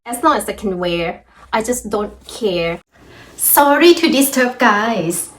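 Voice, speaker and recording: A woman's voice: a little high, expressive, slow pace. A young woman with a Thai accent, teaching her language in a cheerful, chatty video lesson and often laughing. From Thailand.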